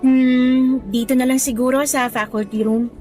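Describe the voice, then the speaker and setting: A woman's voice: high-pitched, steady tone, a little slow, soft background music. A woman acting as a cheerful young new teacher in a radio drama, chatty and appreciative with a hopeful, slightly nervous temper. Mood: hopeful, friendly. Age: young adult. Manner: bright-spirited, chatty, appreciative.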